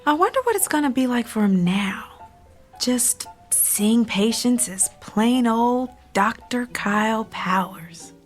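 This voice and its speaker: An actress playing a sympathetic, wistful clinic co-worker chatting in a radio drama. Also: female voice, a little high, expressive, takes it slowly, faint music behind.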